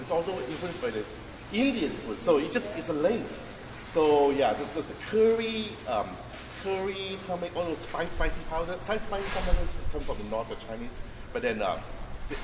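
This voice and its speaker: A man talks through a market tour in a halting way, circling back on his words. His voice sits at an ordinary pitch but comes from a distance. The sound is echoey and roomy, with clear street and traffic noise behind him. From Vietnam. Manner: halting, many restarts and fillers, thinks aloud while explaining. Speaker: chef and tour guide. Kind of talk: informal guided-tour talk. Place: outdoors on a busy street near a market.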